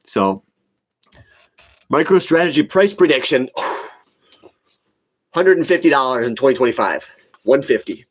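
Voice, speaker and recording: A man's voice: medium pitch, expressive, a little slow, long pauses. Blunt man around forty with a cold. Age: about forty. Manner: blunt, opinionated, repeats himself for emphasis. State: sick with a cold, sniffling. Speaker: livestream host, retail investor. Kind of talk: casual livestream chat, answering viewer comments.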